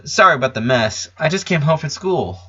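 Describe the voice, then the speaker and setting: A man's voice: a little high, animated, brisk, bright, forceful tone, husky, highs cut off, pitched above the performer's own voice, exaggerated voice. A man voicing a naive, nervous young boy with an eager, anxious manner, in a story reading. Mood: apologetic, casual. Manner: eager, anxious, stammering when alarmed. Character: Clyde, nervous, worried, naive young boy, loyal friend.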